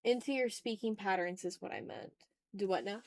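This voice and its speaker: A woman's voice: mid-range voice, very animated, normal speed, creaky, a little mellow, dry recording. A woman hosting a casual art livestream, chatting in a playful, slangy, exclamatory way while she draws, close to the mic.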